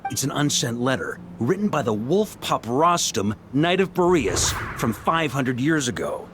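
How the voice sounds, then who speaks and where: An actor playing a cheerful, easygoing young knight who talks in a lively, chatty way. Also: male voice, mid-range voice, measured pace, noisy background. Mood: explaining. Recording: game audio with music.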